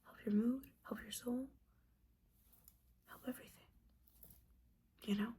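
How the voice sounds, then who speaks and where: A woman playing a disappointed, judgmental but caring witch mentor in a whispered, softly spoken ASMR roleplay, scolding with sassy, casual asides. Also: on the high side, steady tone, measured pace, a little hiss or hum, natural voice. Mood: concerned, caring.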